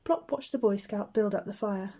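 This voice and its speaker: A woman's voice: mid-range voice, moderate pace, warm tone. A woman narrating a children's bedtime story as a teacher would.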